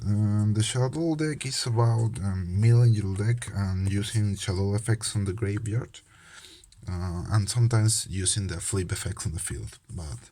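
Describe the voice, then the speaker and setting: A young Peruvian man with a Peruvian Spanish accent talks casually to his channel viewers. His voice sits very low, and he hesitates often, pausing on fillers and restarting his sentences. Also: warm tone. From Peru. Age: young adult. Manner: hesitant, many fillers and restarts, self-deprecating. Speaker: channel host, medical student. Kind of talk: casual card game video talk.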